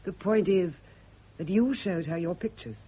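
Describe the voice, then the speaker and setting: A woman's voice: lowish voice, a little slow, dark tone, breathy edge. A woman acting in a radio drama as a refined, cultured unmarried woman of middle age, genteel and earnest, with intense devotion held in restraint. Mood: fervent, reproachful. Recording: dull, muffled vintage radio recording.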